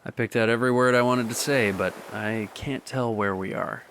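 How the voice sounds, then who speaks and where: An actor playing a young man speaking softly and wistfully to a lost love in a radio drama, with quiet laughs. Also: medium pitch, steady tone, normal speed, slight background noise, close to an everyday voice. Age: young adult.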